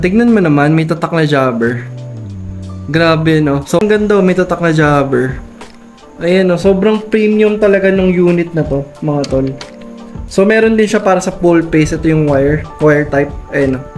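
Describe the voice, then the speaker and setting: A Filipino man talking casually as a vlogger doing an unboxing review, mixing Tagalog with English. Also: sits high.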